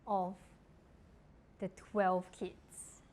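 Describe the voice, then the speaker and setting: A woman's voice: mid-range voice, flat delivery, drawn-out words, darkish tone, slight background noise. A young adult woman from Taiwan, chatting casually as a podcast guest and filling her talk with "like". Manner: chatty, lots of filler "like". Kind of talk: casual chat.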